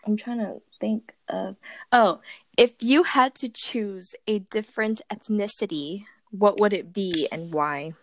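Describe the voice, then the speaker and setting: A woman's voice: medium pitch, measured pace, a little hiss or hum, rough recording. A young woman hosting a casual question-and-answer chat, talking in a bubbly, giggly way with lots of fillers. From Philippines. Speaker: host.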